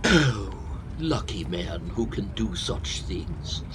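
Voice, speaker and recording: Fussy old hypochondriac professor, slow dramatic delivery, pitch all over, bit of background noise. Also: male voice, big pitch swings. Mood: self-pitying. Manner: florid, theatrical lamenting. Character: fussy older male hypochondriac professor, melodramatic, self-pitying. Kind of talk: comic period farce radio acting.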